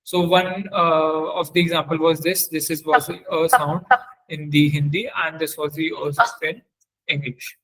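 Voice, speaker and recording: A man presenting a technical webinar as a trainer, explaining haltingly and often repeating and correcting himself. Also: on the high side, level tone, a bit bright, rough recording. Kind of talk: technical lecture with screen demonstration.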